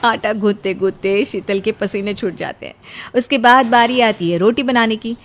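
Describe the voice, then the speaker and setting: A woman's voice: a little high, normal speed. A woman narrates a scripted story as the storyteller. There is faint noise behind her voice.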